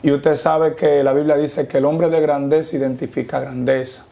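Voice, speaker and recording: Dominican man with a gravelly, mellow voice. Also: a little high, little pitch movement. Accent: Dominican Spanish. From Dominican Republic. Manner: preacherly, quotes scripture, slow emphatic pauses. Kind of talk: testimony interview.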